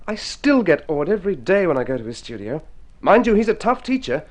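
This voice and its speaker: An actor in golden age radio drama playing a sincere, ambitious young male painter with an earnest, gentle manner. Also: sits high, very animated, fairly quick. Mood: enthusiastic.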